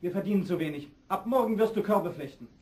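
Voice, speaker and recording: Stern minstrel husband, fairy-tale play, male actor, fairly high voice, scolding, slightly off-mic. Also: expressive, fast talker, darkish tone, distant mic, rough recording.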